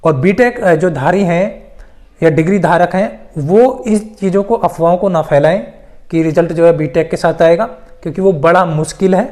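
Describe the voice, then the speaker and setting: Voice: mid-range voice, moderate pace, darkish tone. Explainer, emphatic and repetitive. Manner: repetitive, emphatic explaining with English loanwords mixed in. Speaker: presenter of exam news updates. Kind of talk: informal explainer addressed to exam candidates.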